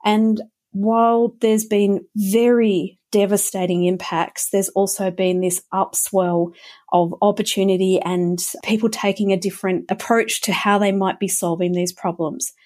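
A woman talks as a guest in a considered panel discussion. Her voice is mid-pitched and distinctly mellow, with a slight croak. She phrases things carefully and articulately. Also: level tone, a little slow. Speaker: panel guest, arts think tank executive.

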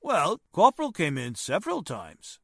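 Woman playing a low-voiced, excitable guard, Mister Howard. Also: moderate pace, husky, no echo, studio quality, heavily acted. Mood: plain, helpful. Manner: folksy, uneducated speech. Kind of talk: 1940s radio mystery acting.

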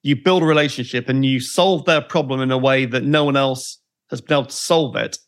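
A male podcast host asking questions in a measured way, thinking aloud as he goes. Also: medium pitch, fairly even intonation. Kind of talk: conversational interview.

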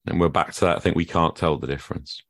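Low-voiced guy hosting a chat, dry close sound, kinda rambly, lots of um. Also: steady tone, fast, vocal fry, no room sound. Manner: musing, thinks aloud with many ums. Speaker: podcast host. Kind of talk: casual conversation.

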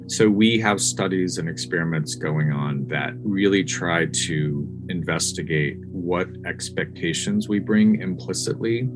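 An American man giving measured, thoughtful explanations as a researcher being interviewed. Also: low voice. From United States. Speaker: academic researcher and interview guest.

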